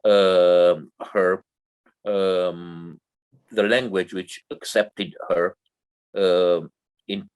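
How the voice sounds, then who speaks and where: A man's voice: low voice, steady tone, very slow, pauses often, clean tone. A man with a Romanian accent, a non-native English speaker, formally introducing a poet as co-host, with many fillers and restarts.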